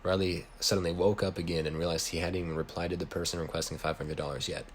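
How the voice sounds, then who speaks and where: Deep-voiced American man reading a story. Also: little pitch movement, fast. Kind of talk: reading own written story aloud. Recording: noisy home recording.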